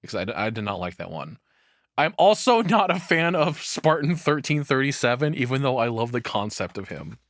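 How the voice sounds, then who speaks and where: A man's voice: medium pitch, sing-song, fairly quick, fairly bright tone, dry recording, studio quality. A man giving casual reaction commentary as a streamer, rambling and opinionated, with some swearing.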